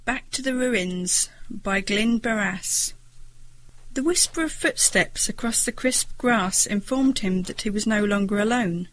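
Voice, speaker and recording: A woman's voice: mid-range voice, a little slow, low-quality recording. A woman narrating scripted short stories for an audiobook.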